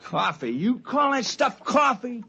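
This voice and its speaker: Gravelly, loudmouthed grumbling spaceman. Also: very high voice, very animated, unhurried, highs cut off, heavily acted. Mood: griping, scornful. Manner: griping, scornful, slangy. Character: loudmouthed, grumbling, crude spaceman with sore feet. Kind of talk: 1950s radio drama acting. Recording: noisy vintage 1950s radio recording.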